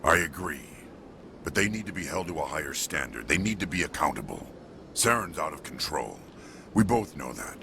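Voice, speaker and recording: A man playing a stern, proud alien police chief in a video game, curt and disapproving, with a gravelly voice. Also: rumbling low, very animated, moderate pace, background noise, exaggerated voice. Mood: stern, indignant. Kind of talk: video game dialogue acting.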